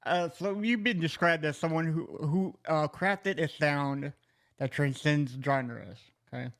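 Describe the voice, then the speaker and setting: A man hosting an interview podcast, loose and jokey, often laughing and cutting in with quick replies. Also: fairly high pitch, a bit bright. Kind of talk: casual interview chat.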